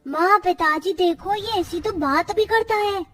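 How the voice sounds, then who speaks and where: A woman's voice: very high voice, measured pace, a bit bright, soft background music. A woman voicing a whiny, eager young child in a cartoon story, pleading and exclaiming. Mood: amazed. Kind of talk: Hindi cartoon story acting.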